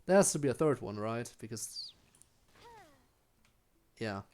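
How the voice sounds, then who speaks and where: A man's voice: mid-range voice, animated, measured pace, darkish tone, a little hiss or hum. A young man with a German accent, a native German speaker, casually chatting with his chat while streaming a game, with frequent ums and restarts.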